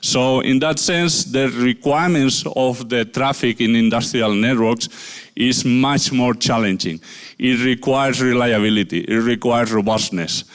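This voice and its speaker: A middle-aged man from Spain with a Spanish accent, giving a conference talk in a halting way with many filler pauses. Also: medium pitch. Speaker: conference speaker.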